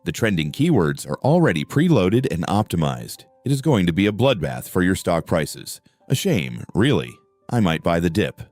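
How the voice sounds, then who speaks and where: A man's voice: deep voice, big pitch swings, moderate pace, darkish tone, dry recording. A man voicing a smug, sneering male executive in an audio drama, taunting and gloating. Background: background music.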